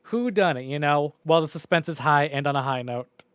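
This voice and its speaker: A man with a fairly high-pitched voice talks casually to his livestream viewers. His delivery is rambling and informal, with loose phrasing and the odd mild swear. The sound is dry, with no room echo. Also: brisk, clear, resonant tone. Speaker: video game livestreamer. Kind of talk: casual chatty stream sign-off to viewers.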